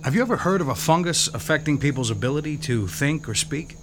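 A man's voice: fairly high pitch, expressive, fast, noisy background, close to an everyday voice. An actor playing a male police detective who asks blunt, skeptical questions in an audio drama. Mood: questioning.

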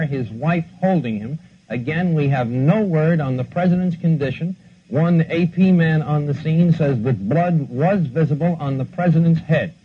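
A man anchoring a live 1960s breaking news bulletin, reporting facts in broadcast style. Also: on the high side. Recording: muffled, noisy 1960s archival broadcast audio.

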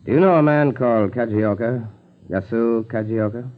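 An actor playing a businesslike, watchful American FBI agent in a 1940s radio drama. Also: male voice, mid-range voice, moderate pace, natural voice. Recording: thin, phone-like 1940s radio recording.

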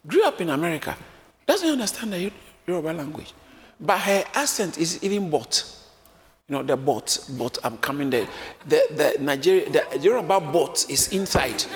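A man preaching a sermon, lively and often acting out the voices of a crowd, joking with his congregation. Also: on the high side, normal speed. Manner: animated, acts out crowd voices, jokes with congregation. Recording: echoey hall with faint room noise.

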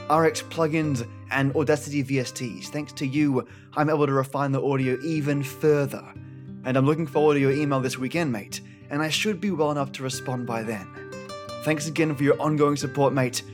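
Higher-pitched man introducing a podcast over guitar. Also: fairly even intonation, fairly quick. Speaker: podcast host. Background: plucked guitar music.

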